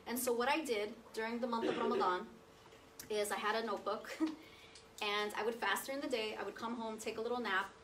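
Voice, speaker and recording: A woman's voice: fairly high pitch, fairly even intonation, a little fast, noisy background. A woman in her thirties giving a chatty, conversational talk, seated at a table and often checking in with her listeners. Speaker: guest speaker. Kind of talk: personal testimony talk to an audience. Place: meeting room with projector screen. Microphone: far camera, speaking seated at a table. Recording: distant roomy sound from across the room.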